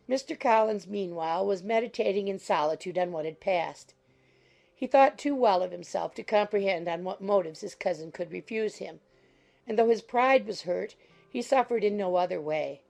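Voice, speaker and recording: A woman's voice: mid-range voice, gravelly, a little mellow. A woman reading scripted literary narration as an audiobook narrator.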